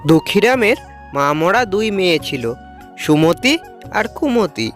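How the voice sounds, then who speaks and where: A woman as a storybook narrator of a cartoon village folk tale. Her delivery swings widely in pitch, over faint music. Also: very animated, unhurried. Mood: storytelling.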